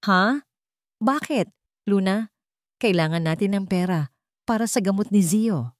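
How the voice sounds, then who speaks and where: A woman's voice: medium pitch, animated, a little slow, no room sound, studio quality, close to an everyday voice. A woman voicing an eager, caring older mother on a phone call in a dramatized story. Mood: worried.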